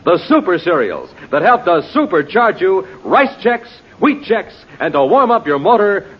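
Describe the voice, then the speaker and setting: A man announcing and narrating in punchy, emphatic 1950s radio style, like a showman pitching to listeners. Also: sing-song, moderate pace, husky. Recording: dull, noisy vintage radio recording.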